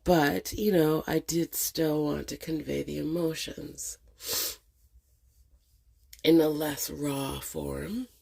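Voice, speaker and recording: A middle-aged woman with a cold and a congested voice, hosting a casual reflective monologue, talking slowly with many hesitations. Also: low-pitched, fairly even intonation, gravelly, darkish tone, husky. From Canada. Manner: slow, hesitant, many ums and pauses. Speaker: channel host.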